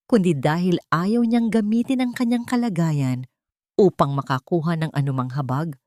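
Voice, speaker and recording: A woman with a Filipino accent narrating a scripted dramatic story in a measured, earnest, sentimental way. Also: low voice, warm tone, no room sound, studio quality.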